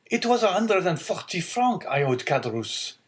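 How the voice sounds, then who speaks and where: A man's voice: sits high, big pitch swings, normal speed, pitched above the performer's own voice. An eager young seafarer and loving son from a classic storybook, acted out by a man reading aloud. His voice is lifted well above the narration, with an earnest, urgent delivery full of exclamation. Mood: concerned, distressed.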